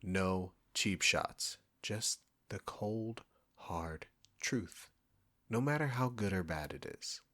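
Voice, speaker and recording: A man's voice: lowish voice, lively intonation, slow, a bit bright. A man playing a cocky, condescending chef who teases a longtime rival, in an intimate roleplay audio drama spoken to the listener. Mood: challenging. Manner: smug, bantering, teasing.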